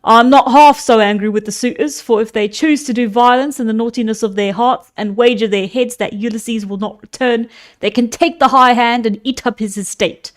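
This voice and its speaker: A woman's voice: on the high side, fairly even intonation, normal speed, natural voice. A woman voicing a stern, indignant old male counselor, declaiming to an assembly.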